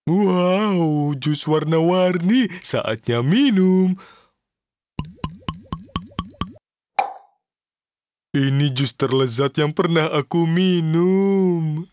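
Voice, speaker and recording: A man voicing an excitable, good-natured cartoon cook in a children's show, with exaggerated, drawn-out exclamations. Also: fairly high pitch, noisy background. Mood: delighted.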